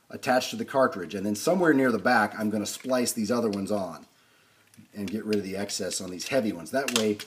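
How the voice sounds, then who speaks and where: A man with a fairly low voice hosts a hands-on restoration video. He talks casually and without a script, thinking aloud as he explains each step of the work. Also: a little hiss or hum. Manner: unscripted, thinking aloud while working. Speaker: host of a vintage record player restoration video series. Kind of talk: casual hands-on repair demonstration talk.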